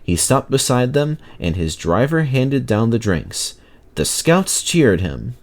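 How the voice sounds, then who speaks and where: A man reading a children's story aloud in an animated, expressive narrating style. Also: medium pitch, slow pace. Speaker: storybook reader.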